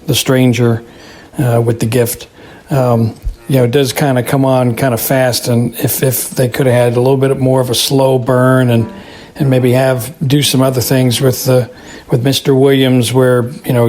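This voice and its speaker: A man from Texas with an American accent, giving a casual spoken review in a halting, thoughtful, repetitive way. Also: medium pitch, darkish tone. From Texas, United States. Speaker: listener commentator.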